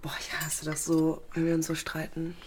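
A woman's voice: low voice, completely flat delivery, moderate pace, background noise, natural voice. A woman playing an anxious, appeasing young woman in a naturalistic audio drama, hesitant and apologetic in her speech. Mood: subdued, soothing.

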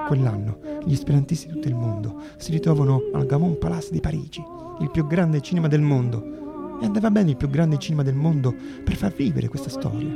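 A man's voice: on the high side, vocal fry. A man narrating radio theatre as an actor-storyteller, dramatic and emphatic, repeating phrases for effect.

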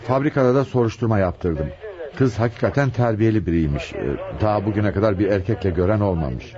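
Muffled old radio broadcast with murmuring behind. A man acts out a commanding chief inspector who asks probing questions with measured authority. His voice moves animatedly up and down, in full radio-play style. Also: fairly low pitch, expressive, crowd noise, lightly acted. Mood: businesslike. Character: commanding, inquisitive male police chief inspector. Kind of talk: radio drama acting.

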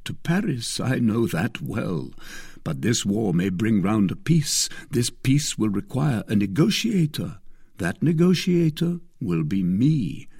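A man's voice: fairly low pitch, a little mellow, breathy edge, dry recording, studio quality, heavily acted. A man voicing an ardent lovestruck nobleman, declaiming passionately in a dramatic audiobook reading. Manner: impassioned, declamatory.

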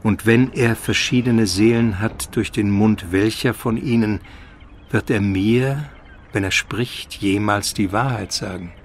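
A man's voice: fairly low pitch, unhurried, slight background noise. A man acting in a radio drama as an educated, skeptical chronicler, reflecting in formal, contemplative inner monologue. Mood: pondering.

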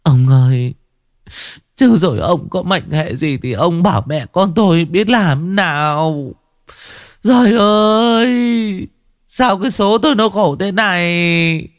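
A man's voice: mid-range voice, sing-song, normal speed, a bit bright, no room sound, clean studio sound, voice pushed up. A man voicing a frightened old village wife who pleads and laments. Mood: wailing.

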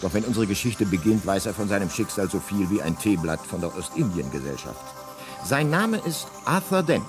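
An actor narrating a radio comedy drama as a wry, measured male storyteller with a deadpan manner. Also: deep voice, music in the background. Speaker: narrator, voice of the book.

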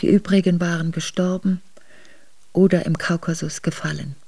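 An actress playing an exiled Russian princess, an ageing mother recalling hard years tenderly in a German radio drama, measured and reflective. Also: lowish voice, fairly even intonation, dark tone, no echo. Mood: sober, reflective. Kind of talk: German radio drama monologue, memoir narration.

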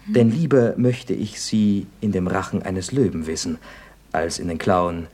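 An actor playing a cheeky, sarcastic young-spirited son in a radio comedy, husky, mocking and theatrical. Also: male voice, deep voice, unhurried, a little mellow. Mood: pompous, sarcastic. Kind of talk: stage comedy radio acting.

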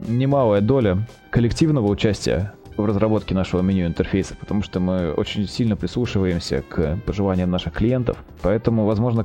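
A man's voice: on the low side, dark tone, dull audio. A man speaking as a company representative, presenting and answering questions informally in businesslike, sales-like phrasing. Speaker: company representative, project manager, interview guest.

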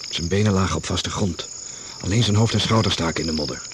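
A man's voice: deep voice, fast talker, natural voice. An actor playing a blunt, matter-of-fact policeman in a Dutch radio drama. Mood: blunt, certain. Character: younger policeman, blunt, brisk, eager to arrest. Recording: noisy old radio play recording.

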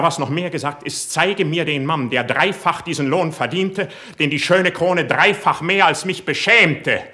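A man's voice: on the high side, pushed and bright. An actor playing an ardent, proud and sensitive young male court poet in a classical verse radio play, speaking in a fervent, lofty way. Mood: indignant, impassioned. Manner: fervent, lofty, declamatory delivery.

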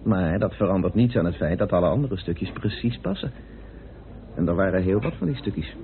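A narrow, tinny old radio recording carries a well-informed parliamentarian playing detective. His delivery is measured and deliberate, with a fairly low voice that moves expressively as he lays out his reasoning. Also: lively intonation. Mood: pondering. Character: composed, well-informed male British parliamentarian turned amateur sleuth. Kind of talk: Dutch radio play acting.

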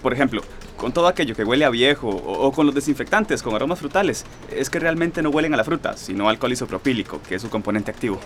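A man's voice: mid-range voice, lively intonation, fast talker, background noise, lightly acted. An actor playing a polite, nerdy young man who explains things wordily, in a radio drama with a Costa Rican accent. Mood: eager, polite. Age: young adult. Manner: wordy, pedantic explanations. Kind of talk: naturalistic radio drama acting.

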